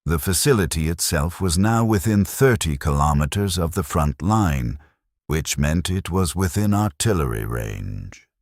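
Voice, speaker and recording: A man narrating a scripted sci-fi war story as a weary, resigned military logistics officer, solemn and measured. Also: deep voice, no echo, studio quality.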